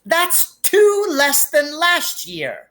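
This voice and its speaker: A man plays a spoiled young boy in a storybook, pitched well up into a whine. The words come out slowly and drawn out, as if thinking is hard work for this dim, demanding child. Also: huge pitch swings, sharp tone, full character voice. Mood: whiny, displeased. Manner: slow, drawn-out delivery. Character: spoiled, whiny, slow-witted young boy.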